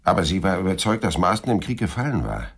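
An actor playing a cool, persistent private detective in a German radio crime drama, narrating and questioning in a dry, laconic, husky voice. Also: male voice, low voice, big pitch swings, fast talker, fairly bright tone, heavily acted. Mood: brooding, businesslike. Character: hard-boiled private detective narrating his own story, cool and persistent.

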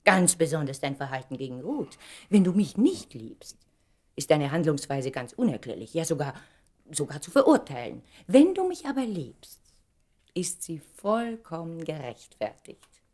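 An actress in a German radio play playing a proud, probing woman who speaks in a deliberate, pointed, dramatic way. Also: low-pitched, very animated, dark tone. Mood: insistent, probing. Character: Valerie, proud woman, probing, articulate, emotionally insistent.

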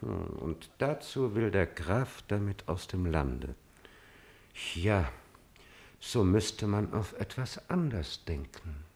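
An actor playing an obsequious, coolly calculating courtier in a classical German radio drama, speaking in a measured, insinuating manner. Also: male voice, low voice, animated, creaky, darkish tone, heavily acted. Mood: cynical, insinuating.

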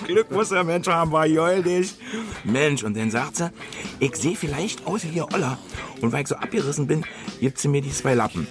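Man playing a brash, high-pitched Berlin street hawker. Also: animated, normal speed, close to an everyday voice. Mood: boastful. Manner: loud haranguing sales patter, colloquial. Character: brash, boastful Berlin working-class ex-convict street hawker. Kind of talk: German radio drama acting. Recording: music behind the voice.

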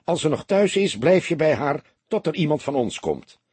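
A man voicing an impatient, commanding police detective in a storybook, firing off brisk orders and probing questions. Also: fairly high pitch, big pitch swings, darkish tone, husky, no echo, pitched above the performer's own voice, heavily acted. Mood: urgent, commanding. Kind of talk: audiobook character dialogue.

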